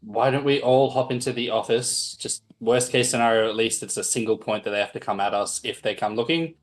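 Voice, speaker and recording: A man's voice: mid-range voice, brisk. A man playing a tabletop roleplaying game, talking casually in a wordy, dryly pedantic way. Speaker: player.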